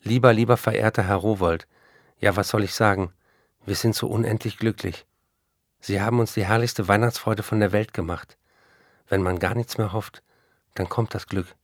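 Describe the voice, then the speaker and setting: An actor playing a struggling, effusive writer, reading earnest letters aloud in a radio play. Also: male voice, fairly low pitch, steady tone, normal speed, no room sound, clean studio sound, natural voice. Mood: overjoyed. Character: struggling male writer, pleading, effusive, self-doubting.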